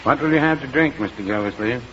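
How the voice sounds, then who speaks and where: A man playing a mild, fussy, deadpan small-town druggist with deliberate delivery in an old radio comedy. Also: mid-range voice, close to an everyday voice. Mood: dry, mild. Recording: muffled, noisy vintage radio recording.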